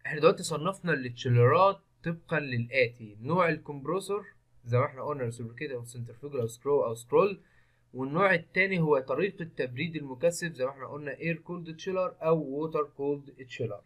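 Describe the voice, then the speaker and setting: A man's voice: on the high side, very fast, a bit bright. A man with an Egyptian Arabic accent giving a technical lecture over slides, explaining step by step and mixing in English technical terms. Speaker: engineering lecturer. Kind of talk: screen-recorded technical lecture.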